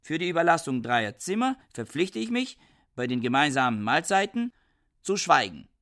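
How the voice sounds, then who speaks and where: An actor playing a pedantic, irritable, bookish husband in a radio drama, speaking in a curt, formal and exacting way. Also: male voice, on the high side, very animated, moderate pace, fairly bright tone. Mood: formal, stern.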